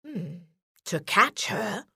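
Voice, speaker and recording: A woman plays a crafty, greedy wolf from a bedtime storybook. He wheedles and grumbles in slow, drawn-out phrases. His pitch swoops widely as he schemes. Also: big pitch swings, gravelly, pushed and bright, breathy edge, no room sound, clean studio sound, exaggerated voice. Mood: uneasy, grumbling. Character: cunning, gluttonous, grumpy male wolf villain.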